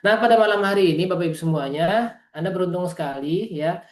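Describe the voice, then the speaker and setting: A man's voice: high voice, fast, roomy sound. A man from East Java giving a motivational business presentation on a video call, earnest and constantly addressing his audience directly. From East Java, Indonesia. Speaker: business presenter at an online meeting. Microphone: video call microphone.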